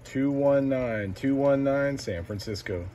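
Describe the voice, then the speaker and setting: A man working as a CB radio operator, calling out short call signs and repeating numbers deliberately. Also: mid-range voice. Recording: noisy radio shack, distant mic, clicks.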